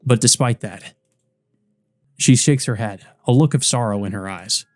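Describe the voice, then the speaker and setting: A man's voice: lowish voice, unhurried, dry recording. A man reading a visual novel aloud as dramatic scripted narration. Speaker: let's play narrator.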